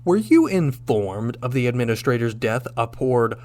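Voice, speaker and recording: A man playing a confident, shrewd starship captain in a sci-fi radio drama, speaking with deliberate, commanding rhetorical pauses. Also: medium pitch, lively intonation, a little mellow, lightly acted. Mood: confronting.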